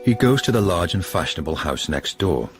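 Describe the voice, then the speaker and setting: A man's voice: low-pitched, big pitch swings, brisk. A man giving scripted narration for a radio drama in the present tense. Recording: music clearly behind the voice.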